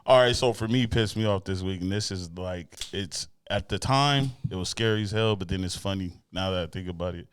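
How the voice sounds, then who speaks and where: A man's voice: low voice, a little fast, hardly any pauses, clear, resonant tone. A man hosting a casual chat interview in a laid-back, slangy way with some easy swearing. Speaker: interview show host.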